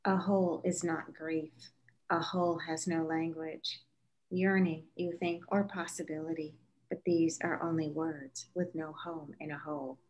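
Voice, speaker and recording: A woman's voice: low-pitched, flat delivery, a little slow, a little mellow, rough recording. A woman giving a scripted literary reading of lyrical prose poetry. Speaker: author reading aloud.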